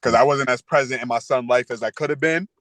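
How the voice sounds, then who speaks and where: A man in his thirties talks frankly as a podcast guest, peppering his speech with street slang and fillers. His voice sits at an everyday pitch. The recording is close and dry, with no room sound. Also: quick pace, fairly bright tone, no echo. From New York. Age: 30s. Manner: street slang, frequent "you know" and "word". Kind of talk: candid interview talk.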